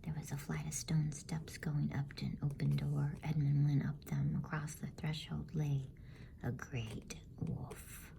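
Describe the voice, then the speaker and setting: A woman reading a bedtime story as ASMR in a hushed, unhurried whisper. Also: low voice, little pitch movement, creaky, noisy background.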